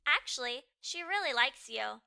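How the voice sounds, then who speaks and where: Lady reading as kind sensible storybook girl, gently frank, really bright high voice. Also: lively intonation, moderate pace, gravelly, smooth, exaggerated voice. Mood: reassuring.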